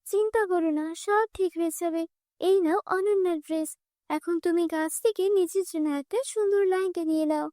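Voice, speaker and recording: A woman voicing a kind, wise fairy in a cartoon, speaking calmly and reassuringly. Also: very high-pitched, brisk, no room sound, clean studio sound. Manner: calm, reassuring. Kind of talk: cartoon dubbing.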